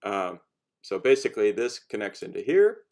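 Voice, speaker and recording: A man casually explaining a homemade project in a halting, unscripted how-to style, rephrasing as he goes. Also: fairly low pitch, very animated, a little mellow. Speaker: hobbyist cosplay maker giving a demonstration.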